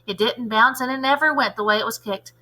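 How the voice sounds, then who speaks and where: Teacher read-aloud: woman, fairly high bright voice, children's storybook narration. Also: a little fast.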